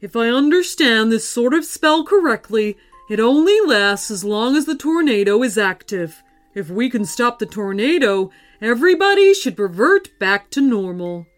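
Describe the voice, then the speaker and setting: A woman's voice: a little high, big pitch swings, faint music behind. Woman acting theatrical, kindly old storybook wizard. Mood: grave, instructive. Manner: theatrical, exclamatory, drawn-out words. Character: kindly old male wizard, eager and stern by turns. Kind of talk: children's storybook character voice.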